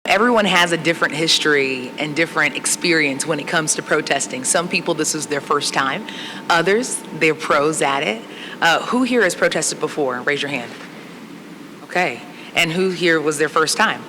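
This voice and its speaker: A man's voice: a little high, normal speed, pushed and bright, a little hiss or hum, poor audio. A man moderating a TV discussion with young protesters, asking direct, friendly questions. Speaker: TV interviewer.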